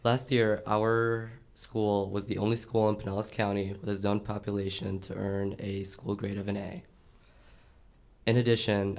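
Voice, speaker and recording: A man's voice: on the low side, creaky. A teenage male student formally reading prepared remarks at a school board meeting. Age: late teens. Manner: formal, steady recitation of figures. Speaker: student presenter.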